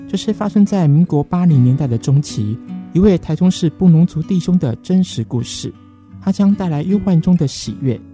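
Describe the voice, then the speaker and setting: A man with a Taiwanese Mandarin accent, hosting a late-night radio show in a scripted presenting style. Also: fairly high pitch. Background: soft guitar music.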